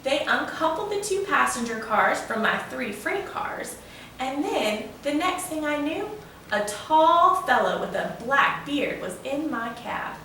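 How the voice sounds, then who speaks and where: A proud, folksy old steam engine from a children's storybook, played by a woman, eagerly spins a tale. The delivery is animated and fairly high-pitched. It sounds distant in a very reverberant room. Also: measured pace, exaggerated voice. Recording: echoey room, distant mic, faint noise.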